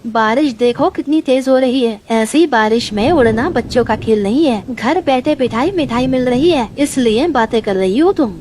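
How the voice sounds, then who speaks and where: A woman plays a sly, lazy male crow from a cartoon tale. The voice is fairly high and full of greedy, gloating boasts, with faint noise behind it. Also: expressive, normal speed, few pauses, darkish tone, lightly acted. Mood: indignant. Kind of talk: Hindi cartoon story voice acting.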